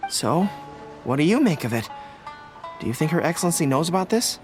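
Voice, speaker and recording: Earnest young general. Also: male voice, a little high, very animated, fairly quick, faint music behind. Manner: polite, apologetic, earnest. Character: earnest young male general, loyal and polite. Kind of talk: game voice acting.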